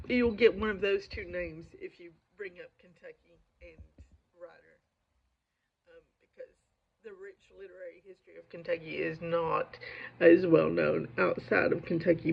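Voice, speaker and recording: A woman with a lingering cold, talking to viewers as a poetry video host in a casual, digressive, self-deprecating monologue with a creaky voice. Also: lowish voice. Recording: dull lo-fi audio.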